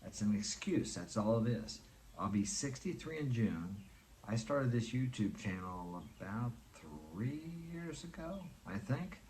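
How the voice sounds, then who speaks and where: A man's voice: medium pitch, expressive, unhurried, a little mellow, slight background noise. A man in his sixties giving an earnest, preachy motivational talk, repeating his points for emphasis. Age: 60s. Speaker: motivational speaker. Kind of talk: motivational monologue.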